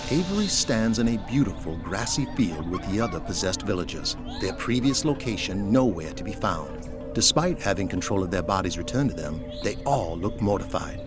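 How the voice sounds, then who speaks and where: A man's voice: low-pitched, very animated, normal speed. A man narrating an audio drama in a scripted, dramatic style. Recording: loud music behind the voice.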